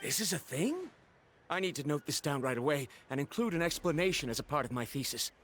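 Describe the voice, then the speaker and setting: A man's voice: on the high side, sing-song, brisk, fairly bright tone. A man voicing a proud, studious young male scholar in a video game, speaking in a formal, pedantic and blunt way. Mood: intrigued.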